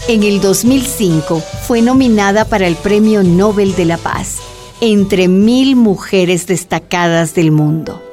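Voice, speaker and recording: A woman's voice: mid-range voice, a little slow, natural voice. An actress playing a respectful, attentive woman interviewer in a radio drama. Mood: attentive, gentle. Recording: prominent plucked-string music underneath.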